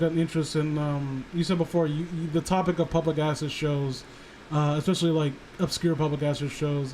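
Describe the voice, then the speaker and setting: A high-voiced man co-hosts a casual livestream discussion. He speaks haltingly, restarting phrases as he works toward his point. There is some noise on the recording. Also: a little mellow, low-quality recording. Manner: halting, repeats phrase starts. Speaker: co-host of a story critique stream.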